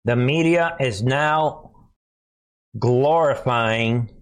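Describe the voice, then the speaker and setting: A male commentator with a fairly low voice and a slow delivery. He addresses his audience directly in short, weighty phrases, sounding preachy and alarmed, with sarcastic asides. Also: smooth. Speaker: host and commentator. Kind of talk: informal opinion commentary addressed to viewers.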